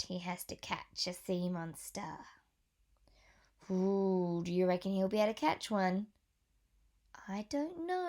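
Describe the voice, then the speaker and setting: Slow expressive teacher reading to children. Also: female voice, mid-range voice. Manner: slow, expressive, with gentle whispered asides. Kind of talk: storybook read-aloud with questions to children.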